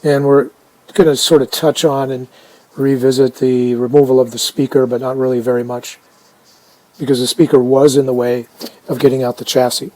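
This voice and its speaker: A man casually narrating a hands-on restoration video, unhurried and halting as he thinks aloud. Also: mid-range voice, little pitch movement, dark tone, low-quality recording. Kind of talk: casual narrated how-to commentary.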